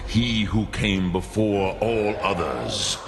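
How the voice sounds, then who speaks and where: Old wise dragon, extremely low pitch, very slow solemn delivery, archaic phrasing, melancholy, fantasy game. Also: male voice, big pitch swings, background noise, exaggerated voice. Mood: mournful, solemn. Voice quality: gravelly. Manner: solemn, deliberate, pausing, archaic formal speech. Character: ancient male dragon, wise, melancholy elder, grave. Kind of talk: fantasy video game acting.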